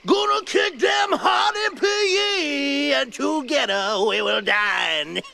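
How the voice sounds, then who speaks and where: A man playing an eccentric, excitable old swamp cook, speaking in folksy, sing-song rhymes with a creaky voice. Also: very high-pitched, extremely slow, raised voice, soft background music, full character voice. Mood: gleeful. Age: older. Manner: rhyming, sing-song, whooping folksy talk.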